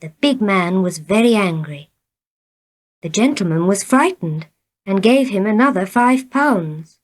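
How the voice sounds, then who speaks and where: A woman reading short tales as scripted narration, in a measured, deliberate manner. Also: mid-range voice, expressive. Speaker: storybook reader.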